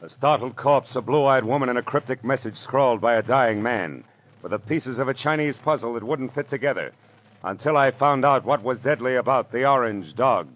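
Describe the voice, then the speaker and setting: An actor with an American accent playing a wry, world-weary private detective in an old-time radio noir drama. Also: male voice, medium pitch, moderate pace, a bit bright, close to an everyday voice. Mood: wry, dramatic. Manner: wry, hard-boiled. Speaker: actor playing detective and first-person narrator. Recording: vintage radio drama with music behind.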